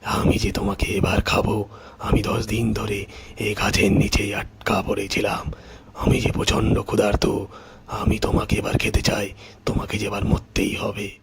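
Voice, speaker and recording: A wicked, hungry tiger from a children's cartoon, played by a man. The voice is pitched low and comes out slow and menacing, sly in temper, over a slightly noisy background. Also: monotone, creaky. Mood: menacing, gloating. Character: sly, hungry, wicked male tiger who pleads then threatens.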